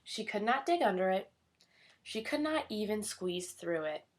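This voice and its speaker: A woman reading a children's storybook aloud in an expressive scripted narration style. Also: mid-range voice, normal speed, background noise. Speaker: storybook reader.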